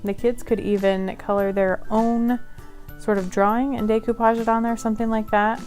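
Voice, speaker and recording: A woman's voice: fairly high pitch, level tone, moderate pace, a little mellow, faint music behind. A woman hosting a casual craft tutorial, talking in a chatty, friendly way and laughing at herself now and then. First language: English; Polish is her second language. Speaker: craft channel host.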